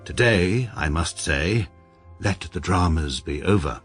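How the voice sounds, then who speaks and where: An actor with an English accent playing a stiff, precise barrister who narrates a radio comedy. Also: male voice, low-pitched, a little slow, slightly rough, soft background music, full character voice. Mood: dry. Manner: dry, precise, deadpan. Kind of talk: radio drama acting.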